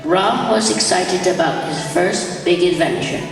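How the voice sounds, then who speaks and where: A woman's voice: lowish voice, unhurried. A woman narrating a scripted story for a school stage play. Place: large school gym. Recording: echoey large hall, distant, music, crowd.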